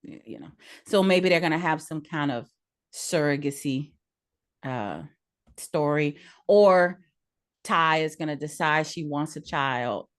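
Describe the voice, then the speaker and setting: A woman in her forties with a lowish voice co-hosts a casual podcast. She gives blunt, opinionated takes and laughs easily. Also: a little slow. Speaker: podcast co-host. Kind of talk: casual chat.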